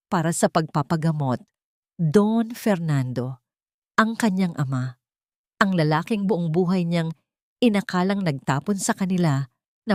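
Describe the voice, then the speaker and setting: A woman narrating a scripted dramatic story in a solemn, deliberate, melodramatic manner. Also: on the low side, a little mellow, no room sound, studio quality.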